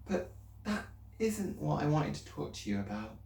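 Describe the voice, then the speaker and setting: A man reading aloud and voicing a small, bright, earnest girl who asks eager, pleading questions. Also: a little high, sing-song, normal speed, creaky, pitched above the performer's own voice. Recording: roomy, distant mic.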